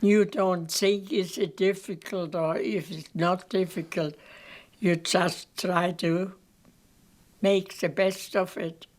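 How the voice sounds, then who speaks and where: Older woman, slow and low. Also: fairly even intonation. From Germany. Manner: plain and matter-of-fact. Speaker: interviewee. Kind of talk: personal life story told in an interview.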